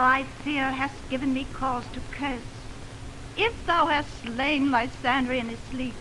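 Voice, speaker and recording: A woman's voice: high voice, bright, forceful tone, full character voice. A woman playing a fierce, distraught young woman in love, declaiming verse in a 1920s radio Shakespeare drama. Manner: theatrical, declamatory verse delivery. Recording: noisy 1929 radio aircheck from optical film.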